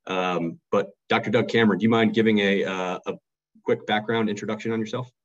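A man's voice: lowish voice, fairly even intonation, a little fast, dull audio. A man hosting a webinar, reading a short scripted intro and then moderating with fillers and restarts. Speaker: webinar host.

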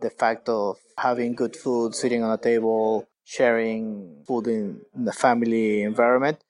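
A man from Venezuela, a company founder, answering interview questions in a halting way with many fillers and restarts. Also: mid-range voice, flat delivery, a little mellow.

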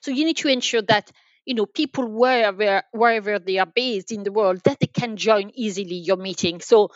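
A woman giving explanatory interview answers as a podcast guest, halting and repeating words as she goes. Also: mid-range voice, no room sound, dull audio. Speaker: podcast guest, enterprise sales manager.

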